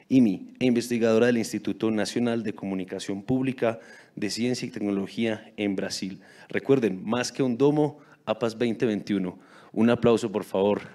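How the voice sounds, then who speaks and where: A man's voice: fairly low pitch, dark tone. A man hosting a conference session, reading a formal, prepared introduction of the next speaker. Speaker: event host, moderator. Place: planetarium auditorium.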